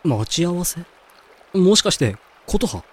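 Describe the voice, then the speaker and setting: A man playing a blunt but caring young man with rough, casual speech in a Japanese amateur voice drama. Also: high-pitched, sing-song, a little slow, heavily acted. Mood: surprised, urgent.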